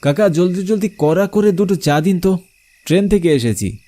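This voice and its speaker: A man's voice: high voice, lively intonation, normal speed, mellow, voice pushed up. A man voicing a timid, jittery young male traveler in a horror cartoon. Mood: hurried.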